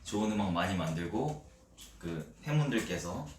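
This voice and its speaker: A young man chatting in a relaxed, teasing way during a casual livestream, sometimes drawing words out. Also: low voice, moderate pace, recorded from a distance. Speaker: idol group member on a livestream. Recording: echoey room, off-mic, faint noise.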